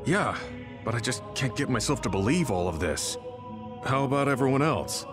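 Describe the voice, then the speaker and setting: Reverberant, gruff, down-to-earth male soldier played by a man. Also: medium pitch, animated, moderate pace, close to an everyday voice. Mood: doubtful. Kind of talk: scripted video game voice acting. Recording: long reverb with faint music.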